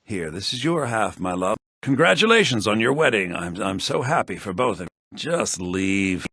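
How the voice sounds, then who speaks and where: An actor playing a sly, cynical townsman in a fantasy video game, with a husky, gravelly voice and a wry, conniving, expressive delivery. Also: on the low side, moderate pace, no room sound, clean studio sound, full character voice. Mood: fond, smooth, then scornful, irritated. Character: sly, cynical adult male townsman and schemer. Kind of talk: scripted game dialogue.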